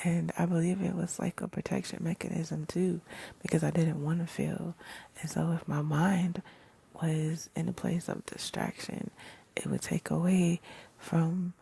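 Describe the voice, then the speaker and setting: A woman giving a casual self-help talk in a soft, breathy whisper, swearing now and then. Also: deep voice. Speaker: vlogger.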